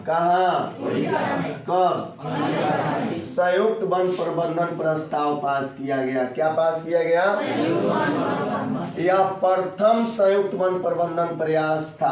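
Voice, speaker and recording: A man with a sore throat, teaching school children in a didactic way, repeating points and quizzing them. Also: on the high side. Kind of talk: classroom geography lesson for school children. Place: echoey room, teaching in person. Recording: very echoey room, distant mic.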